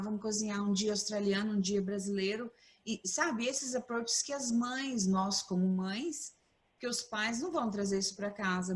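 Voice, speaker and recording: A mother speaking earnestly and reflectively as a webinar panelist. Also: medium pitch. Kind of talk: casual webinar talk. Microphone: video call.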